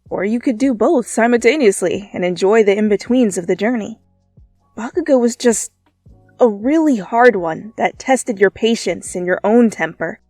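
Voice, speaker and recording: A woman reading fan fiction as dramatic storytelling, with an expressive, playful delivery. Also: on the high side, dry recording. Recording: background music under voice. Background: music.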